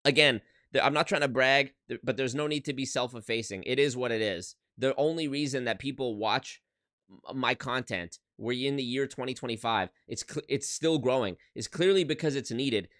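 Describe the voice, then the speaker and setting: A man's voice: medium pitch, normal speed, a bit bright, no room sound, clean studio sound. A man in his twenties, a YouTuber talking casually on a livestream from his apartment into a desk microphone, emphatic and swearing, often restarting his sentences. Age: 20s. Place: one-bedroom apartment.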